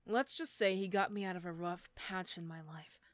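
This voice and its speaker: A woman playing an irritable, sarcastic female supervillain in a roleplay audio drama, snappy and condescending but gruffly caring. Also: fairly low pitch, normal speed, no echo, voice pushed down, lightly acted. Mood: dry, guarded.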